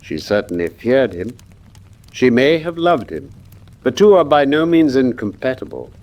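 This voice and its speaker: Guy acting a british gentleman detective in a radio play, super sure of himself, precise, voice swoops around. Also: medium pitch, very animated, moderate pace, close to an everyday voice, low-quality recording. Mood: composed, genial. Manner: precise, theatrical, self-assured. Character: confident, incisive gentleman detective explaining his case.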